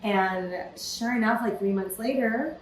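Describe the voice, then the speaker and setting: A woman's voice: fairly high pitch, a little slow, echoey room, low-quality recording. Woman guest, casual storyteller, lots of fillers, slight background hiss. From California, USA. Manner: lively storytelling with frequent "you know" fillers. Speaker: podcast guest, entrepreneur. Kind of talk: casual interview conversation.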